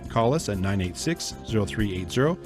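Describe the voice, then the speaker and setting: A man reading a scripted radio ad with smooth promotional delivery. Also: fairly low pitch, lively intonation, brisk. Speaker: ad announcer. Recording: radio ad with music bed. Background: music.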